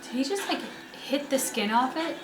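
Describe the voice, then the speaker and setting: A woman's voice: high voice, expressive, moderate pace. A woman co-hosting a reaction channel with informal, playful commentary. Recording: echoey room, loud music behind.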